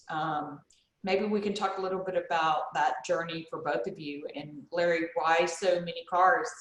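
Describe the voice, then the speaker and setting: A woman moderating a panel conversation, asking chatty, rambling questions full of fillers. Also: fairly low pitch. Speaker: moderator, bookshop owner. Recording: roomy echo.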